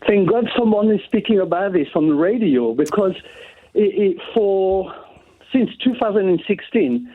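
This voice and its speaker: High-voiced man on a phone line. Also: lively intonation, dry recording. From France. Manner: eager, with halting restarts. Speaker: caller.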